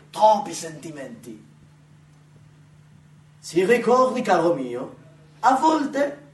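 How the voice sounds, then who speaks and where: A grandiose, megalomaniac commander in a radio theatre piece, with a very high voice that swoops widely. He declaims slowly and haltingly, swelling from hush to shouts, in a roomy space. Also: heavily acted. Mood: haughty, admonishing. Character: pompous, exalted, megalomaniac military leader fancying himself Charlemagne. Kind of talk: theatrical radio monologue acting. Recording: roomy, distant, noisy theatrical recording.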